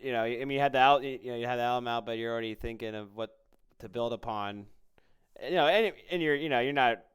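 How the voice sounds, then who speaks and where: A man with a very clean, smooth voice at a middling pitch. He hosts a relaxed interview chat, offering encouragement and putting his questions together in a halting, informal way. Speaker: podcast host and interviewer.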